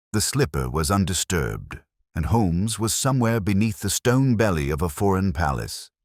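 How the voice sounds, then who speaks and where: A man with an extremely deep voice narrates a literary mystery. His reading is solemn and dramatic, with wide swings of intonation and a dry, close sound. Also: no room sound. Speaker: audiobook narrator. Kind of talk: scripted first-person mystery narration.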